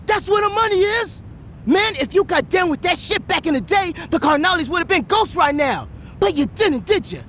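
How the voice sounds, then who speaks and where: Brash young gang lieutenant, high lively voice, defiant and mouthy. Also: male voice, moderate pace, a little hiss or hum, full character voice. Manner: defiant, insulting. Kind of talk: video game cutscene acting.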